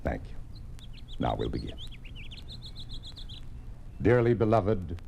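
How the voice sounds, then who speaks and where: An actor playing a calm, devout parson who speaks in a solemn, measured, ceremonial way in a 1950s radio drama. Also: male voice, deep voice, vocal fry, slight background noise, heavily acted. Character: calm devout male parson marrying a couple in secret. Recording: old radio recording with music.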